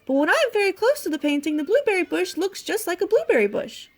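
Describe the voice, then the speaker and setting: Woman voicing an earnest little mouse, very high-pitched. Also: big pitch swings, quick pace, exaggerated voice. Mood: thoughtful. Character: small female mouse, earnest young painter, insistent.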